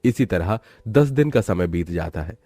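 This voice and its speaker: A man's voice: low-pitched, lively intonation, measured pace, dark tone. A man narrating a scripted moral story.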